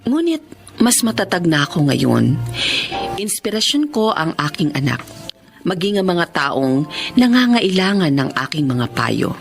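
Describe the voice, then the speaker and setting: A woman's voice: on the low side, very animated, unhurried, gravelly, exaggerated voice. A woman playing a resilient, wistful mother and psychiatrist, narrating her life dramatically in a radio drama. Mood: wistful, resolute. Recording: music under the voice.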